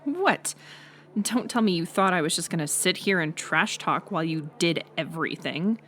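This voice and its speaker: A young woman playing a mocking college bully in an audio roleplay, sarcastic and teasing, with a hidden softer side. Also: on the low side, animated, moderate pace, a little hiss or hum. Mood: defensive. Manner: sarcastic, teasing, scoffing with sighs and breathy asides. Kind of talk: audio roleplay acting, intimate one-sided dialogue.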